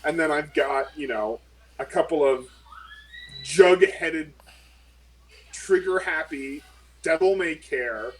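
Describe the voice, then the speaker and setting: A man's voice: fairly high pitch, takes it slowly, pauses often, slight background noise, rough recording. A man playing a flustered woman lawyer. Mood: frantic, exasperated. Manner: swearing, flustered, exasperated. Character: rattled woman corporate lawyer, foul-mouthed and defensive.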